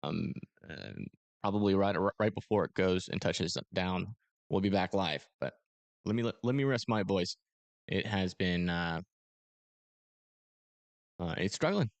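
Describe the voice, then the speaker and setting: A man with a hoarse, strained voice, casually and self-deprecatingly talking through live radar coverage as a livestream host. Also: low-pitched, unhurried, pauses often, dry recording. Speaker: storm-chasing livestream host. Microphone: close desk microphone.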